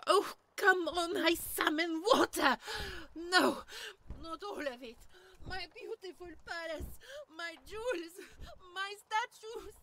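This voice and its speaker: A woman's voice: extremely high pitch, slow pace, sharp tone, heavily acted. A woman playing a vain, defiant villainess, haughty and melodramatic, in an audio roleplay. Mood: desperate, distraught. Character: vain, defiant villainess clinging to her palace and riches.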